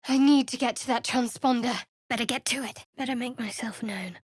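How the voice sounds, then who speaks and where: A guarded young priestess of a dark goddess for a fantasy video game. Her voice sits fairly high and is recorded close and dry. She speaks in clipped, measured phrases with wry, sardonic restraint. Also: husky, no echo. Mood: musing. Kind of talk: video game voice acting, companion dialogue and battle barks.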